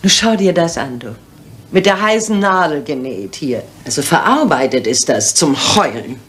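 An actress playing a practical, sympathetic middle-aged friend in a vintage TV re-enactment, colloquial and emphatic. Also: female voice, low voice, very animated, normal speed. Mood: frank, critical. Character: practical, sympathetic woman friend, frankly critical. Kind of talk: 1980s TV re-enactment acting. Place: indoor rooms.

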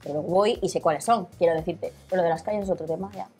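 A woman's voice: on the low side, very fast, mellow, faint music behind. A young woman with a Madrid accent chatting casually as a guest, talkative and colloquial. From Madrid, Spain. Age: young adult. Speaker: interview guest, Instagram content creator. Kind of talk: casual chat. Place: small studio set, indoors. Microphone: lapel.

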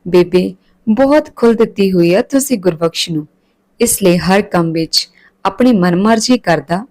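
Woman doing grumpy big brother in a story, blunt and resentful, mid pitch. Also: moderate pace, a little mellow, no echo, natural voice. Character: resentful grown son, blunt, grudging older brother.

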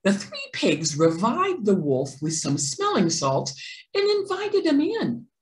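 A middle-aged woman narrates a picture book with theatrical expression. Her pitch swings widely while the pace stays slow and deliberate. The small room gives her voice a clear echo. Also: huge pitch swings. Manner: theatrical, deliberate, stressed words. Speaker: children's read-aloud presenter. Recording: roomy indoor echo.

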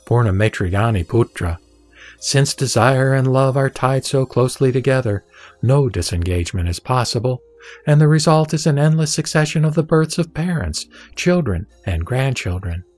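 Measured man reciting scripture over music. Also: medium pitch, lively intonation, darkish tone, dry recording. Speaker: sutra reciter and narrator. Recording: background music under the voice.

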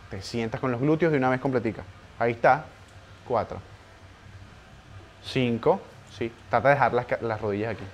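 A man's voice: medium pitch, a little hiss or hum. A young man, a personal trainer coaching a client through a workout live, counting reps and urging her on. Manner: urgent encouraging counting of reps, pushes harder near the end. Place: home garage gym, indoors.

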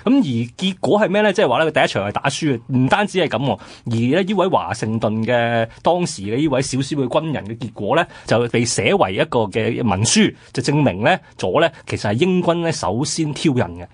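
A man's voice: mid-range voice, lively intonation, a little fast, few pauses, breathy edge, no echo. A man with a Hong Kong Cantonese accent explaining history conversationally as a radio co-host.

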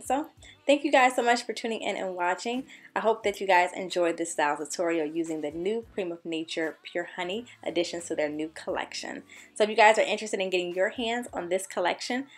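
A woman's voice: lowish voice, fairly quick. A young woman beauty vlogger narrating a scripted tutorial voice-over in an upbeat, enthusiastic manner. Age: young adult.

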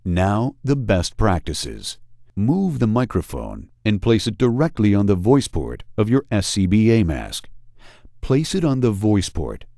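Male narrator for instructional video: low, mellow, dramatically varied intonation, deliberate and emphatic, dry studio sound. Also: wildly swooping pitch, vocal fry, no room sound. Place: studio voice-over.

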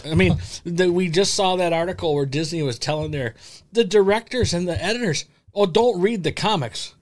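A middle-aged American man hosting a casual podcast in an animated, rambling way, close on a studio mic. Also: fairly high pitch. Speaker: podcast host. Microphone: close boom-arm podcast microphone with headset.

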